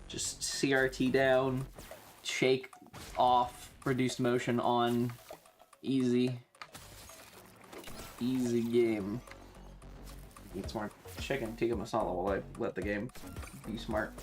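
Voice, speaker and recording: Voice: medium pitch, flat delivery, slow, pauses often, faint music behind. A streamer chatting casually and swearing freely while playing a game on a livestream.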